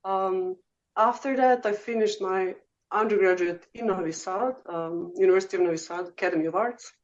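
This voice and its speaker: A woman's voice: medium pitch, normal speed. A woman from Serbia in her thirties with a Serbian accent, a guest telling her story in a casual interview, chatty and full of ums. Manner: chatty, frequent "um" and "uh", laughs easily. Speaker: guest oboe professor. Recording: slightly muffled lo-fi audio.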